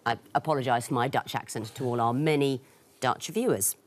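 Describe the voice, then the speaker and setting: A woman's voice: very deep voice, a little fast. Dry-witted woman hosting a quiz. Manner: dry, wry, teasing. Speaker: quiz show host. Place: television studio.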